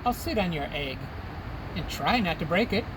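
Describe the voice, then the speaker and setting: A man's voice: high voice, lively intonation, normal speed. A man as a big earnest elephant, noisy recording. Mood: earnest, resigned. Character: huge, gentle, faithful male elephant, earnest and long-suffering.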